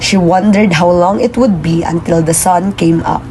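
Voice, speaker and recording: Low, mellow woman narrator. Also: fairly even intonation, normal speed, a little hiss or hum, rough recording. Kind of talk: scripted puppet show narration.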